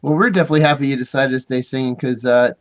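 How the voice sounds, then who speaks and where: A man's voice: mid-range voice, very fast, lo-fi sound. A man hosting a podcast interview, asking questions in a hesitant way with many ums and restarts. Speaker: podcast host.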